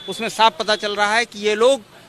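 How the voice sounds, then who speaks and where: Male advocate, high-pitched and formal, explaining to press outdoors over faint traffic noise. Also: flat delivery, moderate pace, a bit bright. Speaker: lawyer, interviewee. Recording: outdoor street noise behind.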